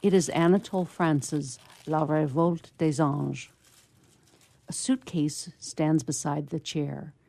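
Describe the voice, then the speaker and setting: A woman's voice: low voice, steady tone, takes it slowly, creaky, mellow, dry recording. A woman narrating a scripted radio play.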